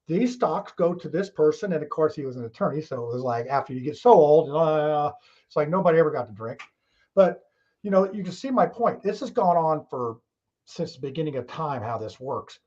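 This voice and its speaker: A man's voice: a little high, lively intonation, moderate pace, a little mellow, husky, lo-fi sound. A man hosting a casual talk show, rambling along in a folksy way with frequent chuckles.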